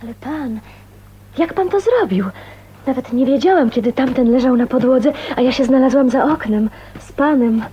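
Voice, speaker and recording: Actress as a shy, high-voiced young woman, noisy recording. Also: normal speed, dark tone, highs cut off, lightly acted. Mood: grateful, flustered. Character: shy, sheltered 29-year-old woman newly tasting freedom. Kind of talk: radio drama acting.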